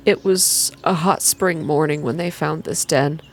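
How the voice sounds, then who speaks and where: Woman reading as a quiet, wistful man. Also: deep voice, unhurried, slight background noise. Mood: wistful, calm. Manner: quiet, hesitant, calming. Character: shy, wistful man, quiet storyteller and guide.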